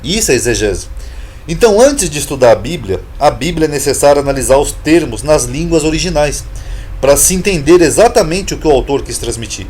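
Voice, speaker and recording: A man's voice: fairly high pitch, expressive, fairly quick, darkish tone, a little hiss or hum. A Brazilian man explaining Bible terms in an informal lesson, emphatic and repetitive, asking rhetorical questions. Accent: Brazilian Portuguese. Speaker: Bible teacher, channel host.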